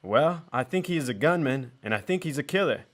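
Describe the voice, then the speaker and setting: A man's voice: medium pitch, lively intonation, brisk, lightly acted. A man playing a hot-tempered, bullying troublemaker in a western radio drama. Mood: scornful, angry. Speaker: actor in a radio theater.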